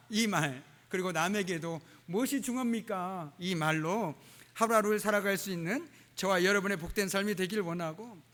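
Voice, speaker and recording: Man pastor preaching, high-ish voice, lots of "uh"s, echoey church hall. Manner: warm preaching with dialect phrases and frequent "uh" fillers. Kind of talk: sermon. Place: church sanctuary. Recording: church hall with long reverb.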